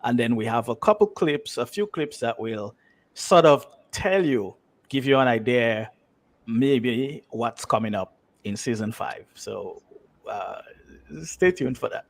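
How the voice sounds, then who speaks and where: Guy being interviewed on a radio show, medium pitch, just talking it through. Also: expressive, unhurried. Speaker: interview guest from a radio drama. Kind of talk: conversational interview.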